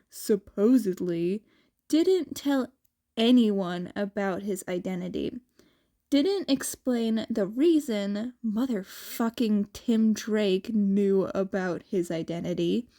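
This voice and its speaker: Amateur female audiobook-style reader for fan fiction, relaxed tone and slow delivery. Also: medium pitch. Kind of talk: scripted story narration, read aloud.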